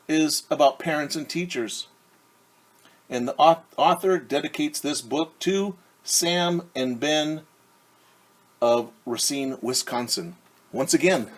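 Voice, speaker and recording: A man with a slightly husky voice reads a picture book aloud for young children. He takes his time, pausing to point things out and ask gentle questions. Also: medium pitch.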